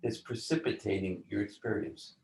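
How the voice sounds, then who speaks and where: A man explaining ideas as an interview guest in a deliberate, teacherly way, often posing rhetorical questions. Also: fairly low pitch, steady tone, darkish tone. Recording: roomy, echoing voice.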